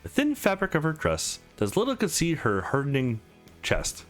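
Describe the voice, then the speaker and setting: A man's voice: fairly high pitch, huge pitch swings, normal speed, faint music behind. A man hosting a let's play, mixing casual commentary with read-aloud visual novel narration.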